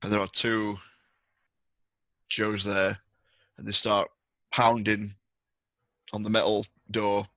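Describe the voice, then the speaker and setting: A man's voice: on the low side, little pitch movement, takes it slowly, long pauses, sharp tone, no echo. A man acting as game master, narrating a tabletop roleplaying game in conversation with players.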